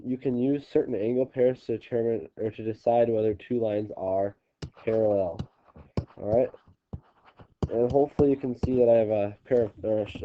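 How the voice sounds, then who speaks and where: Stuffy-nosed male teacher, lowish voice, halting explanations, dull home recording. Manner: halting, restarting explanations with many ums. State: congested, stuffy nose. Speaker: geometry teacher. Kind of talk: recorded classroom video lesson.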